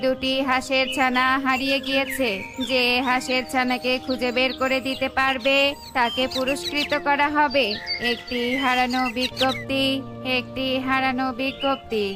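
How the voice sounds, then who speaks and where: A woman plays a cartoon male parrot acting as town crier. The voice is high and the delivery formal and official, as in a public notice. Music plays behind it. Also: little pitch movement, normal speed, natural voice. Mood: formal, urgent. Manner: repetitive, formal announcing.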